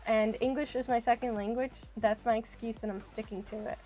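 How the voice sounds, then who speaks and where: Woman game streamer, slightly high-pitched, rambling casual chat over faint music. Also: fairly even intonation, fairly quick, warm tone, poor audio. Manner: chatty, thinks aloud, rambling with many "like"s. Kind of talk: casual live-stream chat while playing.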